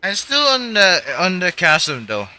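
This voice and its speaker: A woman live-streaming a video game, giving quiet, laid-back, casual reactions in brief interjections. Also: lowish voice, wildly swooping pitch, unhurried, dry recording. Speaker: livestreamer playing a video game. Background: game music.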